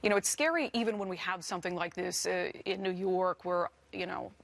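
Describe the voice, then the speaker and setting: A woman's voice: lowish voice, fairly even intonation, brisk, gravelly. A woman news anchor giving earnest, emphatic ad-libbed commentary on the morning news.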